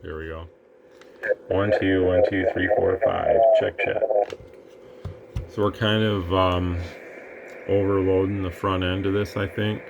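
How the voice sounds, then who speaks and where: A man testing radio gear in a relaxed, rambling way, breaking into mic checks and counting. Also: low-pitched, a little slow, gravelly, pauses often, lo-fi sound, noisy background. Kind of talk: casual product demo.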